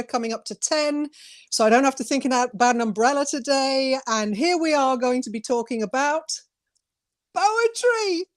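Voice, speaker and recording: A woman co-hosting an inspirational talk show in an effusive, expressive way. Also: sits high.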